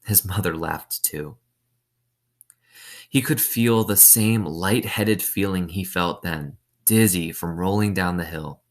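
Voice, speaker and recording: Male storyteller, low register, reads a children's novel with simple explanatory asides and questions. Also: slow.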